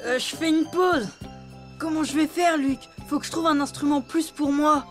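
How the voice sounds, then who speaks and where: Woman as an impatient, high-voiced cartoon elephant boy. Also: fairly even intonation, moderate pace, natural voice. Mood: urgent, pleading. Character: impatient young boy elephant, boastful, easily discouraged. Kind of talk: French cartoon dubbing. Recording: music behind the voice.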